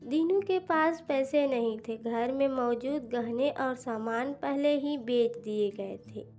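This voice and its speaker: A woman narrates a cartoon story in a high voice. She takes her time over each phrase, with faint instrumental music behind her. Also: slow, a little mellow.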